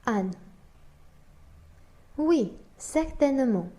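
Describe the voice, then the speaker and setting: A woman slowly reading a scripted learner dialogue aloud, naming each speaker before their line. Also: sits high, expressive, dark tone. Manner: slow, deliberate, reads both parts and announces each speaker.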